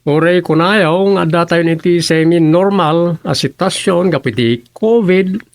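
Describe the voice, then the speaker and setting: A man's voice: a little high, normal speed, dry recording, clean studio sound, lightly acted. A man playing a bossy, talkative townsman with a scolding, gossipy manner in a radio comedy-drama. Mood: scolding, advising.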